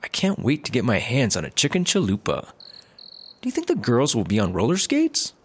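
An easygoing, food-loving guy from a comedy radio play. His mid-range voice swings wildly in pitch while he stays casual and oblivious. There is some noise behind him. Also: wildly swooping pitch, fairly quick. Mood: dreamy, eager. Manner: casual, unbothered, cheerfully oblivious. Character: laid-back, easygoing, food-loving male squad car buddy. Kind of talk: sci-fi comedy audio drama acting.

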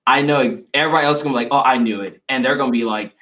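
A young man in casual conversation, speaking earnestly and reflectively with halting restarts. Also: mid-range voice, a bit bright. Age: young adult. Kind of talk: casual chat.

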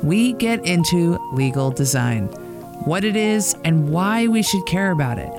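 Casting: female podcast host, low register, chatty and playful, faint music underneath. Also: lively intonation, a little slow. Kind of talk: casual conversational interview.

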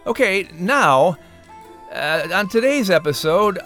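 A man hosting a playful scripted podcast in a theatrical, animated manner. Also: high voice, takes it slowly, faint music behind. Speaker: podcast announcer and host.

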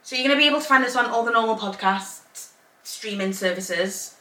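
A woman co-hosting a relaxed podcast, with a bright, sharp tone. She rambles in long run-ons and laughs easily. Her voice comes from a little way off the mic in an echoey room. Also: fairly high pitch, a little fast, far from the mic. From Zambia, raised in England. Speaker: podcast co-host. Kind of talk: casual chat. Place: home room, Northern Ireland.

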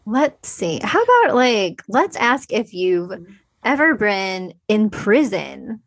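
A woman's voice: mid-range voice, sing-song, slow pace. A woman co-hosting a podcast, chatting in an informal, giggly and self-deprecating way. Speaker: podcast co-host. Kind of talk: casual chat.

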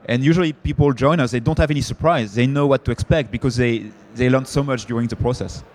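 A man's voice: mid-range voice, quick pace, a little mellow, a little hiss or hum. A man with a French accent speaking on a conference panel, talking with frequent fillers and restarts. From France. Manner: hesitant, many fillers and restarts. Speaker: panelist, company founder.

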